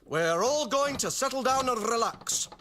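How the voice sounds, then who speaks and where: An actor playing a brisk police inspector in a radio play, clipped, commanding and sardonic, with noisy, clicking radio sound. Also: male voice, extremely high pitch, expressive. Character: brisk male police inspector handling an armed siege.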